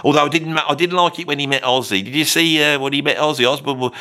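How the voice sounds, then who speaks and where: A man's voice: fairly high pitch, quick pace, pushed and bright, smooth. A man voicing a blunt, opinionated bloke with a Birmingham accent, ranting and swearing in a comic monologue.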